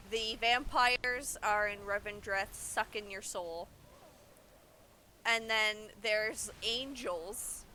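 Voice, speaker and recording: A woman's voice: medium pitch, sharp tone, slight background noise, poor audio. A woman streaming a game, explaining its story in loose, informal casual chat.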